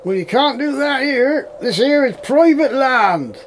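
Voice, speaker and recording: Casting: male actor as folksy rural English farm manager, old-fashioned and wary, very high-pitched voice, audio drama. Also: lively intonation, unhurried, a little hiss or hum, exaggerated voice. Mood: suspicious. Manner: folksy dialect, addresses others as young lad.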